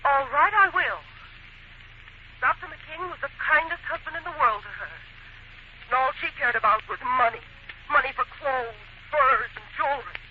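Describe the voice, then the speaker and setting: A woman's voice: sits high, normal speed, natural voice. On a muffled, lightly hissing 1940s radio recording, an actress plays a melodramatic woman caught up in a murder case. Her delivery is emphatic and theatrical. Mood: accusing. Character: women suspects in a murder mystery, melodramatic, wounded.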